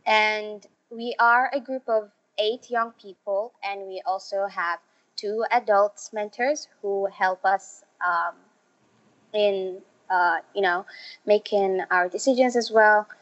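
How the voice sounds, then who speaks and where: A young woman from Somalia, a refugee youth program organizer, answering interview questions slowly with many fillers. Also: mid-range voice, steady tone, a bit bright. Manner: slow, halting, many fillers. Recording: muffled lo-fi audio.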